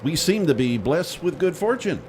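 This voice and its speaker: An actor playing a stern tactical commander giving crisp orders in an audio drama. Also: male voice, on the high side, sing-song, moderate pace, a little mellow. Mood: stern, commanding. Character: authoritative male commander of a tactical team. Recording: noisy outdoor car and traffic ambience.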